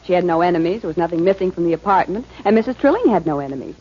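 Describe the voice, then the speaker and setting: An actress playing a detective's knowing, teasing companion, wry and playfully skeptical, in 1940s radio drama style. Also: female voice, on the low side, expressive, rapid-fire, natural voice. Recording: dull, noisy 1940s radio broadcast.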